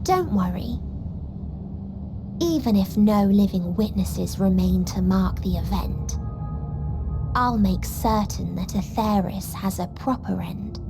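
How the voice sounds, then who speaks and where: Slow, solemn female villain. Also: medium pitch. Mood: serene menace. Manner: slow, solemn, coldly detached. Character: serene, despairing female villain bent on ending all life. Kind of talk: scripted video game cutscene acting. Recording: game music under the voice.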